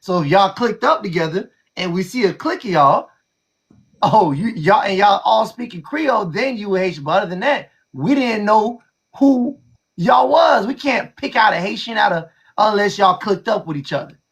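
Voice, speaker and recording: Raspy, gravelly man arguing. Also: sits high, animated, moderate pace, fairly bright tone. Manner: argumentative, pressing his point. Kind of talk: casual debate.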